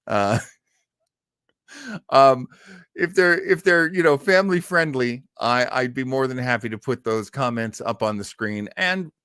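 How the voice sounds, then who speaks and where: A man's voice: mid-range voice, lively intonation, measured pace, fairly bright tone, smooth, no echo, studio quality. A man chatting casually as the host of a livestream, rambling in a self-deprecating, easily amused way. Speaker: host of an old-time radio livestream.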